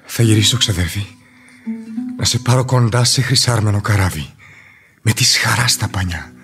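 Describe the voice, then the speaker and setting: A man's voice: fairly low pitch, over music, close to an everyday voice. An actor playing a devoted, solemn young man in love, declaiming poetic verse in a radio play. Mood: fervent. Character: ardent departing young male lover, devoted, solemn. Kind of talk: lyrical radio theatre verse acting.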